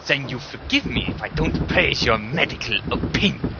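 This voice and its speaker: A man's voice: mid-range voice, expressive, moderate pace, close to an everyday voice. A man playing an earnest, protective and dryly sardonic comrade in arms in a fantasy audio drama. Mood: anxious, protective. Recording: dull, noisy recording.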